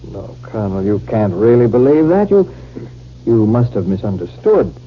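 An actor playing a smooth, duplicitous army captain in a 1950s radio drama. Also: male voice, fairly low pitch, big pitch swings, normal speed. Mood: soothing, patronizing. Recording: muffled, hissy 1950s radio recording.

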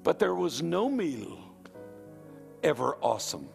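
A man's voice: on the high side, sing-song, measured pace, gravelly. A man preaching a live sermon to a congregation, with emphatic, fervent repetition. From Northern Ireland. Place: church hall with a live congregation. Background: music.

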